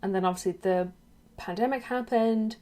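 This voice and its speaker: A woman's voice: mid-range voice, normal speed, darkish tone. A young woman chats casually to her viewers at home, rambling and drifting off topic as she talks into a webcam. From England, raised in Ireland. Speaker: YouTuber. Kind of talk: casual chatty vlog.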